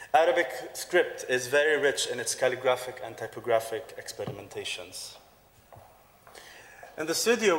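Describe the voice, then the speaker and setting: Guy giving a design talk at a conference, earnest, mid pitch, big hall with long reverb. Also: fairly bright tone. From Dubai. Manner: earnest, lecturing with occasional fillers. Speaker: design studio co-founder. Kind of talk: conference presentation. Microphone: handheld or podium microphone.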